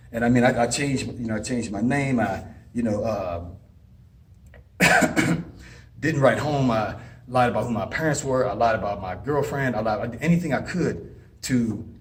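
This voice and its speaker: A man's voice: mid-range voice, slight background noise. A man giving casual advice and personal stories in an earnest, rambling way with frequent restarts. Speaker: advice vlogger and former inmate.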